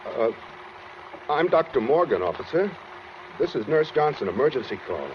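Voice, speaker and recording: Guy acting a cold bossy american killer, 40s radio drama, really animated pitch, noisy old recording. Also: normal speed, dull audio, close to an everyday voice. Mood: feigned civility. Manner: threatening, commanding, sardonic. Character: menacing gun-toting male killer posing as a doctor. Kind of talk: 1940s radio suspense acting.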